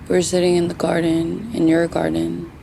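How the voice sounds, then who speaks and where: Brief: actress as a quiet grandchild, soft and thoughtful, low, warm tone. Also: female voice, completely flat delivery, moderate pace, gravelly, a little hiss or hum, poor audio. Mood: reflective. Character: grown grandchild speaking softly at a grave. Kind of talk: scripted audio drama monologue.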